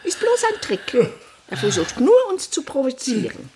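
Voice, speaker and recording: An actress playing the imperious, callous mistress of a villa in a radio play, with a haughty, mocking, theatrical delivery. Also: female voice, high voice, wildly swooping pitch, moderate pace, background noise. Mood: impatient.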